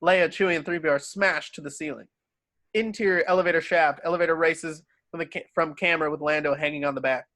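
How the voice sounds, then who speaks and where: Male narrator, slightly high voice, dry close sound, reading scene directions from a script. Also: steady tone, quick pace, bright, forceful tone, dry recording.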